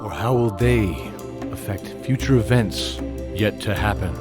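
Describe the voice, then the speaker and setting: A man narrating a fantasy audio drama as its host, in a steady storytelling voice. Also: on the low side, measured pace. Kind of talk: scripted narration. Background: music bed.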